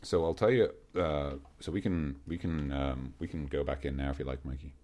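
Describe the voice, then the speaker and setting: A man's voice: extremely low pitch, moderate pace, soft background music. A man co-hosting a podcast, chatting casually and rambling a little with plenty of ums and jokes. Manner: relaxed, rambling, jokey with filler ums. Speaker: podcast co-host. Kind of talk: casual podcast chat about tabletop monsters.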